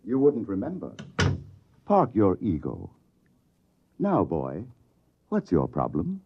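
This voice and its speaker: A blunt, folksy analyst from a vintage radio drama. His low voice jumps about in lively swoops as he wisecracks in slang. The recording is dull and lo-fi. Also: takes it slowly, creaky, husky, heavily acted. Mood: blunt, shrewd. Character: folksy, brash freelance analyst, small silver-haired man. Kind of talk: 1950s radio drama acting.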